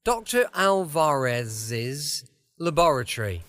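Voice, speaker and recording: A man's voice: on the high side, big pitch swings, slow pace, fairly bright tone. A British man hosting a podcast from a small studio, chatting casually and rambling along. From United Kingdom. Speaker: podcast host.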